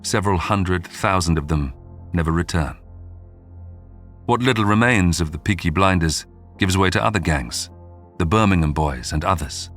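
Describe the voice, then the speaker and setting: A man hosting a history programme, reading scripted documentary narration in a measured, polished storytelling way. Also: sits low, a bit bright, faint music behind. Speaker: podcast narrator and host.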